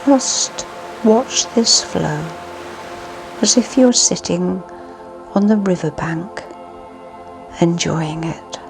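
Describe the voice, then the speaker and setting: A woman narrating a scripted guided sleep meditation in a soothing, hushed manner with long pauses. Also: fairly low pitch, animated, vocal fry. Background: music.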